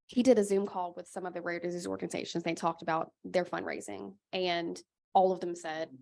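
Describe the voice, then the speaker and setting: A woman on a conference panel who speaks in a halting way, with many pauses and fillers. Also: lowish voice, vocal fry, a bit bright. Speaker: panelist, foundation leader. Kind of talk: casual panel talk.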